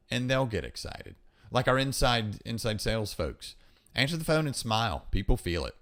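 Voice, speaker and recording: A man's voice: fairly low pitch, fairly bright tone. A man hosting a podcast, talking casually and instructively, emphatically repeating his key points. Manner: emphatic, repeats key points, acts out example phone calls. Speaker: podcast host giving hiring advice.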